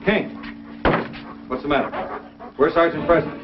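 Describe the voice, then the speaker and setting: An actor playing a hearty, urgent frontier sled driver who thinks aloud in brisk exclamations, in old-time radio adventure style. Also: male voice, a little high, lightly acted. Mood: urgent, alert. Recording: dull old radio recording with music.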